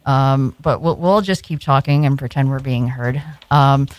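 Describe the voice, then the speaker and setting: A woman's voice: rumbling low, unhurried. A woman from New York City hosting an internet radio show in casual studio chat, laughing often and talking with lots of fillers and restarts. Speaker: radio host.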